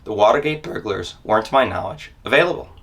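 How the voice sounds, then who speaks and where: A man's voice: fairly low pitch, animated, fast, a bit bright. A man reading a memoir as a dramatic monologue, telling the story in scripted first person with theatrical emphasis.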